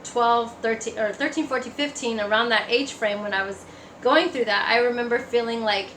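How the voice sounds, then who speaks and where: A woman's voice: fairly high pitch, moderate pace, fairly bright tone. A woman giving a personal testimony in a casual, conversational storytelling way. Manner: conversational, acts out remembered remarks. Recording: echoey room, faint background noise.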